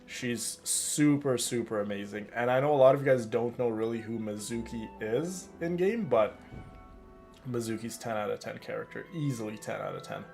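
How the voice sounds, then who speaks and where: A man's voice: mid-range voice, normal speed, creaky, darkish tone. A man chatting casually and familiarly to his viewers while streaming a video game. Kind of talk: casual live stream chat. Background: game music.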